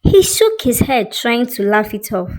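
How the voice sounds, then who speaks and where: A woman narrating a scripted dramatic story in an expressive, suspenseful way. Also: on the high side, a little slow, poor audio.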